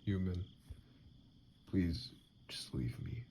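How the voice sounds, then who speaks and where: A man playing a wounded, bitter, self-loathing vampire in an ASMR audio drama, brooding and halting, with a gravelly, breathy voice that often drops near a whisper. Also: low-pitched, mellow. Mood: weak, wary.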